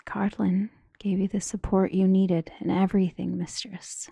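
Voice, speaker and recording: A woman playing a devoted, deferential maid in an audio drama, speaking in a hushed, earnest, conspiratorial way. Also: medium pitch, flat delivery, moderate pace, creaky, slightly rough, no echo. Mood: earnest, supportive. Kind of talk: dramatic audio roleplay acting.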